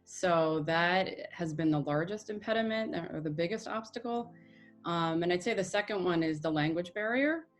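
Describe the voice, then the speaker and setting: An American woman speaking in a soft, halting way with many fillers, reflecting in an interview. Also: on the low side, soft background music. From United States.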